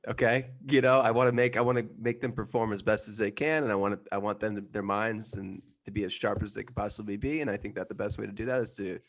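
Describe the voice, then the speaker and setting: A man's voice: on the low side, steady tone, quick pace, hardly any pauses. A man playing an unhinged, blustering teacher in improv comedy, often repeating himself. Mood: smug, unrepentant. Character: unhinged male teacher forcing tests on captives.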